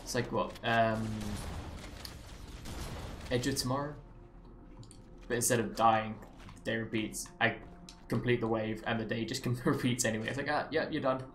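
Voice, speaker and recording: A man's voice: mid-range voice, steady tone, normal speed, pauses often, fairly bright tone, a little hiss or hum. Chatty English man streaming a game. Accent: Southeast English, slightly Americanized. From England. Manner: chatty and rambling, with wry self-mocking asides. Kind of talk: live gameplay commentary. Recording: roomy echo with game music behind. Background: game music.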